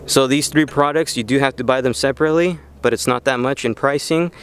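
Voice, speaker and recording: A man's voice: mid-range voice, fairly quick, background noise. A man hosting an informal how-to video, explaining things step by step in a casual way. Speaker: video host.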